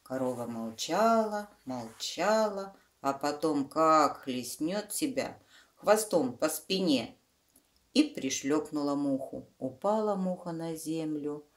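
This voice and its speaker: A woman's voice: low-pitched, lively intonation, takes it slowly. A woman reading a children's story aloud, explaining things simply to young listeners with fond diminutives. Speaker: children's book reader, channel host.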